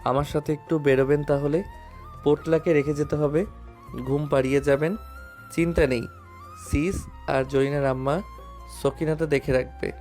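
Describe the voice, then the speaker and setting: A man's voice: fairly high pitch, fairly even intonation, normal speed, long pauses, a little mellow, natural voice. Man acting as a playful young husband. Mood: persuading, calm. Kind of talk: romantic audio drama acting. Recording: music under the voice.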